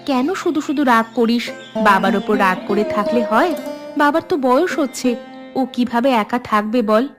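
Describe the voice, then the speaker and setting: Woman as a caring, higher-voiced elder sister. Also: moderate pace, over music, natural voice. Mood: concerned, coaxing. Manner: coaxing, concerned. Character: caring elder sister and mother, gently coaxing. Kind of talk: animated family drama acting.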